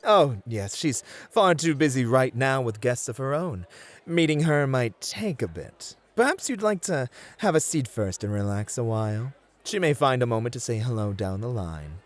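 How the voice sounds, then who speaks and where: Man acting a lilting, flirty gentleman club host. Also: medium pitch, animated, moderate pace, lightly acted. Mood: apologetic, flustered.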